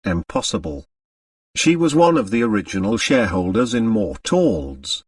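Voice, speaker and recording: Blustering middle-aged doctor for an audiobook, performed by a male narrator: emphatic, breezy, very animated, dry sound. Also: lowish voice, moderate pace, husky, no echo, recorded from a distance. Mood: astonished. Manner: energetic, emphatic, fuming.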